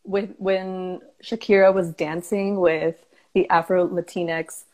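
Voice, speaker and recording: A woman's voice: fairly low pitch, level tone, slow, darkish tone. A young woman hosting a casual conversation, enthusiastic and chatty as she talks and asks questions. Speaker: host.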